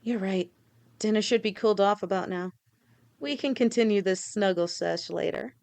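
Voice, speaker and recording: A woman voicing a devoted young lamia woman with a snake tail, speaking softly to her partner in an audio roleplay, halting and sometimes stammering. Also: medium pitch, creaky, lightly acted. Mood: affectionate. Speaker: girlfriend in a listener roleplay.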